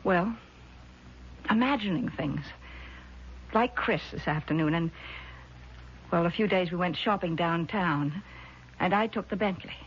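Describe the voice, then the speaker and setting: An actress playing a composed, doubting sister in a 1970s radio drama, measured, patronizing and patiently insistent. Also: female voice, on the low side, lightly acted. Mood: confiding, concerned. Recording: muffled vintage radio broadcast, faint noise.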